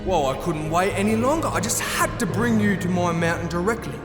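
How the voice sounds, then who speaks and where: A man's voice: high voice, lively intonation, a little fast. A man playing a falsely courteous, condescending gnome king in a fantasy audio drama. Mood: mock-polite. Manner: mock-polite, suave, condescending. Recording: echoing hall with music behind.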